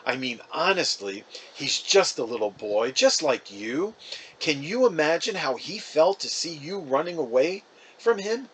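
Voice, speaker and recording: Guy doing a storybook mom scolding her two boys, stern n earnest, bit husky, voice moves around a lot. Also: on the high side, very animated, a little slow, a bit bright, pitched above the performer's own voice, exaggerated voice, low-quality recording. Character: disappointed, scolding mother of two young boys.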